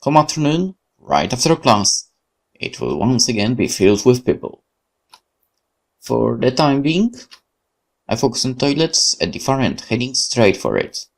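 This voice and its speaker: Polish-native man, let's play host, reads a horror story game aloud, gravelly, lively intonation, corrects himself. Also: medium pitch, a little slow. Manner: lively reading with self-corrections and asides. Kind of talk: reading a horror visual novel aloud.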